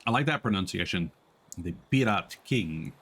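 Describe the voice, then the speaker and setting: Low-pitched, animated man streaming a game. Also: moderate pace. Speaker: live streamer. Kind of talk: casual gameplay stream chat.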